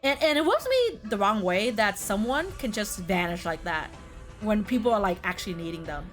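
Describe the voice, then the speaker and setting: A woman's voice: mid-range voice, very animated, normal speed, fairly bright tone, soft background music. A woman co-hosting a casual gaming discussion, talking informally as she ranks and debates. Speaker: host of a gaming tier list stream.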